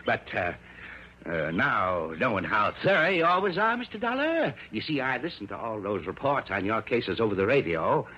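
Crusty old coroner, folksy and swooping, vintage radio drama, muffled hissy recording. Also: male voice, on the low side, sing-song, moderate pace, pushed and bright, husky, full character voice. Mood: impressed, obliging. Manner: folksy, hesitant, easily ruffled. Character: crusty, touchy old country doctor and coroner. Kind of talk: 1950s-60s radio drama acting.

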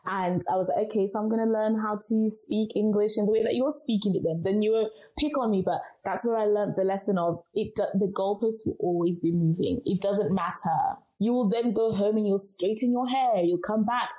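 A woman's voice: medium pitch, fairly quick, few pauses, mellow, rough recording. A young woman from Zimbabwe, answering interview questions with earnest, encouraging advice. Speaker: guest speaker.

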